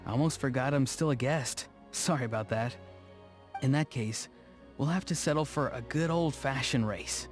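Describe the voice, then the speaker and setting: A man voicing an awkward, earnest young video game character, speaking in a low-key, hesitant way. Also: close to an everyday voice. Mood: easygoing. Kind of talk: scripted video game dialogue. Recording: music behind the voice. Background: faint background music.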